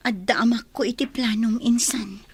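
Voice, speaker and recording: A woman's voice: fairly high pitch, fairly even intonation, moderate pace, a little mellow, husky, dry recording. A woman with an Ilocano accent playing a cautious, loyal cousin in a radio drama, asking worried questions.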